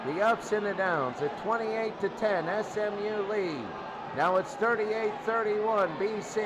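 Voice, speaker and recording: Male radio football play-by-play caller, high voice, excitable, repetitive calls, very noisy crowd backdrop. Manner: excitable, repeats phrases. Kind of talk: radio sports commentary. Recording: loud noisy stadium crowd behind. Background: roaring crowd.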